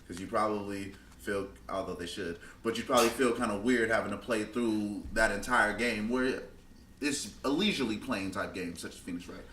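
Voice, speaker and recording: A man's voice: on the low side, moderate pace, fairly bright tone. An American man co-hosting a podcast in casual, conversational style. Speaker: podcast co-host. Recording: roomy, distant mic, faint noise.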